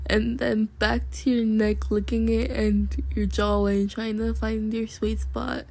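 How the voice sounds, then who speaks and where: Young woman, high voice, slow reading, giggly, flustered, stumbling restarts. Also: level tone, gravelly, darkish tone. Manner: giggly, flustered, breaking off to react. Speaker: fanfic reader. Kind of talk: reading fan fiction aloud.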